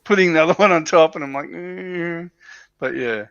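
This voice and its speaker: A man's voice: fairly high pitch, moderate pace, gravelly, a bit bright, smooth, highs cut off. A man chatting casually as a panelist on a livestream, talking in a hesitant way that often doubles back on itself. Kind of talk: casual chat. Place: livestream call.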